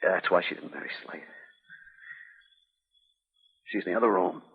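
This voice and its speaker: Gravelly, fading wounded outlaw. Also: male voice, sits low, normal speed, full character voice. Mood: pained, resigned. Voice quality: raspy, gravelly. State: weak from a wound. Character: dying wounded outlaw husband, bitter, weary, devoted man. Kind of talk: 1950s radio western acting. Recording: old radio recording, narrow band, reverberant.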